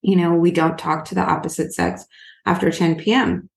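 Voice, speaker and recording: Woman co-host, casual chat, fairly low voice, chatty and earnest, roomy echo. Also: steady tone, moderate pace, gravelly, a little hiss or hum. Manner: chatty, filler-heavy, earnest. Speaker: podcast co-host.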